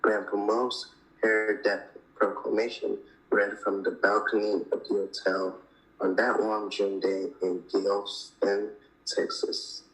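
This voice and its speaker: A man reads a children's story aloud. His voice is on the low side and moves very little in pitch, giving an even, level delivery. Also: slow pace, rough recording. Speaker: reader of a children's picture book.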